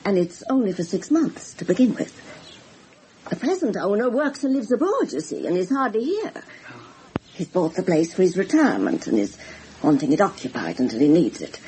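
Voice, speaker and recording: An actress playing an older widowed village housekeeper, chatty, plain-spoken and deferential, in a radio drama. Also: female voice, lowish voice, sing-song, moderate pace, warm tone, a little hiss or hum, poor audio. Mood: chatty, explaining.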